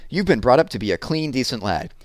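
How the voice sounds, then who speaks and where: A man's voice: mid-range voice, very animated, fast, dry recording, studio quality, voice pushed up, natural voice. A man voicing a stern but loving mother, skeptical and firm, with old-fashioned phrasing, in a story read aloud. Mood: alarmed, disapproving.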